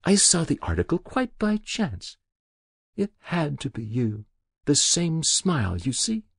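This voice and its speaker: A man voicing an elderly woman quietly confessing to a long-ago murder, in a raised, lively voice. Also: fairly high pitch, unhurried, gravelly, husky, dry recording, clean studio sound, pitched above the performer's own voice, full character voice. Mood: pained.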